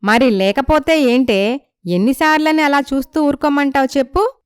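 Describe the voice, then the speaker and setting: A woman playing a proud, assertive young woman from a poor family in an animated moral story. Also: high voice, moderate pace, dry recording, clean studio sound, lightly acted. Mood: indignant.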